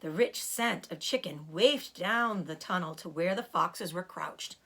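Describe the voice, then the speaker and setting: A woman teacher reading a children's storybook aloud in an expressive way. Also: lowish voice, measured pace.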